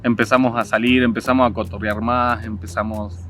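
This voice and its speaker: A man's voice: lowish voice, level tone, fairly quick, fairly bright tone. A man in his thirties from Argentina with an Argentine Spanish accent, chatting casually to camera as a travel vlogger in a plain, easygoing way. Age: 30s. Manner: easygoing, plain-spoken, mixes in local slang. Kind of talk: casual sit-down chat to camera. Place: seated indoors facing the camera. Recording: music bed under the voice.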